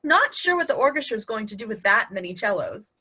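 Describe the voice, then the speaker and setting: A woman playing a supportive, dryly humorous wife with a husky voice in a table read. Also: fairly high pitch, big pitch swings, fast, pushed and bright, exaggerated voice. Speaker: actor in a virtual table read. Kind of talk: stage comedy-drama table read.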